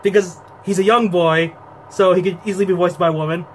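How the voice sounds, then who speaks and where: A man's voice: high-pitched, fairly even intonation, fast, a little hiss or hum, poor audio. A man hosting a game playthrough, chatty and giggly, talking with his guests. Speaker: let's play host. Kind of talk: casual game commentary.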